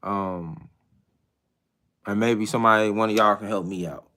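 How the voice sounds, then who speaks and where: A man in his thirties hosting a casual live chat with viewers, talking in a hesitant, reflective way with many pauses and restarts. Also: lowish voice, steady tone, pushed and bright. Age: 30s. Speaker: livestream host.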